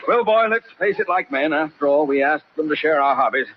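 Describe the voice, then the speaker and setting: A muffled, very noisy vintage radio comedy recording of a stuffy gentleman golfer, played by a male actor. His fairly high voice is pompous and courtly, with dry sarcasm and exasperation in a lively, swinging delivery. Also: a little fast, close to an everyday voice. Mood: dryly exasperated. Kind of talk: 1940s radio sitcom acting. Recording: muffled, noisy 1940s radio, studio audience.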